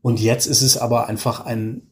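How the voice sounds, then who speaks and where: A man's voice: mid-range voice, little pitch movement, normal speed. Enthusiastic man chatting in an interview. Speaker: interview guest, podcaster and audio drama creator. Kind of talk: casual interview chat.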